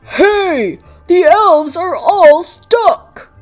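Jolly old male storybook figure, read by a woman: loud, hearty, commanding, very animated, music behind. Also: extremely high pitch, drawn-out words, pitched above the performer's own voice, exaggerated voice. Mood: hearty, reassuring. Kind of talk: children's storybook read-aloud.

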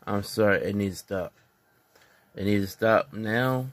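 A man's voice: on the low side, unhurried. A man vlogging casually on a phone in a dim living room, earnest and preachy, repeating his points. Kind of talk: casual opinion vlog.

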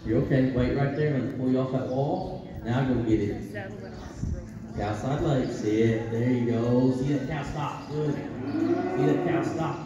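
A Texan riding instructor calls out short, steady commands to his students, calm and encouraging. He is picked up by a camera far off in a big covered arena, his voice ringing with long echo. The audio is dull, with music playing behind him. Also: medium pitch, unhurried, background noise. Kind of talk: coaching riders live from the arena. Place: large covered indoor arena. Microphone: camera far across the arena.

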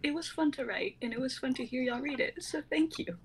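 A woman's voice: sits high, a little fast, slight background noise, rough recording. A woman in her twenties chatting casually with a group on an online livestream. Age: 20s. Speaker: writer and reader on a group stream. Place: indoors at home, video call.

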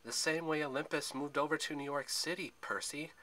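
A man voicing a fierce, know-it-all teenage girl demigod in a storybook, explaining things forcefully. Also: on the high side, steady tone, brisk, voice pushed up.

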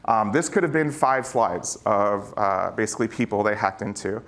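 A man's voice: fairly low pitch, animated, normal speed, fairly bright tone. A middle-aged man giving an informal conference talk on stage, slangy and conversational, often checking in with listeners. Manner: conversational, slangy, peppered with rhetorical check-ins. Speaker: keynote speaker, former security chief.

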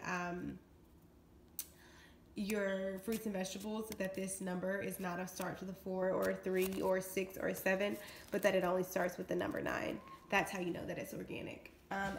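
A woman's voice: medium pitch, gravelly, fairly bright tone, slight background noise. A young woman vlogging her grocery haul, chatty and upbeat, with lots of fillers. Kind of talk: casual grocery haul chat. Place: indoors at home.

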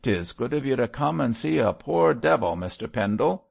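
A man's voice: on the low side, sing-song, moderate pace, vocal fry, muffled recording, full character voice. A man voicing a coarse, emotional condemned criminal and father in an audiobook, with a growling, rustic working-class English accent. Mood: grateful. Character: coarse condemned male criminal, gruff, grateful, remorseful father.

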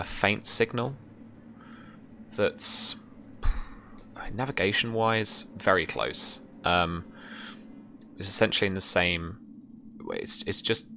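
A young man improvising tabletop game narration as game master, halting and thinking aloud. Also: deep voice, pushed and bright, slight background noise. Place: small room at home, indoors.

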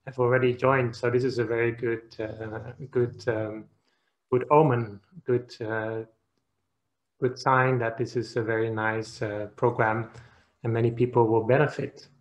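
A man, a Buddhist monk giving an online Dharma talk over a video call, gentle and hesitant, with many fillers. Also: mid-range voice, flat delivery, measured pace, lo-fi sound. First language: Dutch. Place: quiet room at home. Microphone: webcam on video call.

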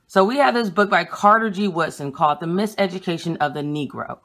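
Blunt, emphatic woman with a low voice. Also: a bit bright. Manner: emphatic, blunt and quick-talking. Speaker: vlogger. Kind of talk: casual home vlog showing books. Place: small room at home, indoors. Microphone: phone camera held at arm's length.

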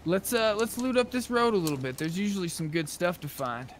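A man with a slightly high voice talks conversationally, improvising in character during an online game. There is faint noise and ambience behind him. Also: very animated, a little fast. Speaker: role-play gamer. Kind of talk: improvised in-character chat while gaming.

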